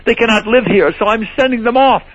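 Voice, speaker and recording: A man's voice: high voice, fairly quick, a bit bright, husky, no echo, dull audio, voice pushed up. A man voicing a small, grumpy old forest guardian creature, scolding in rhyming verse.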